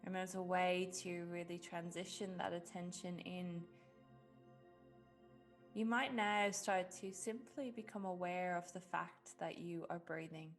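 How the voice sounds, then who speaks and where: Gentle, low-voiced woman guiding meditation. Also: fairly even intonation. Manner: gentle, unhurried, with long pauses. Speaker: meditation guide. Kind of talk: guided meditation narration. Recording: music behind the voice. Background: background music.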